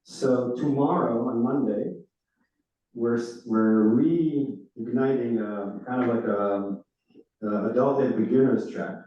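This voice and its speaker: A man's voice: mid-range voice, slow. A male rabbi whose first language is Hebrew, giving a chatty, informal run-through of upcoming classes to his congregation. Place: large synagogue room. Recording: echoey hall, far off, dull.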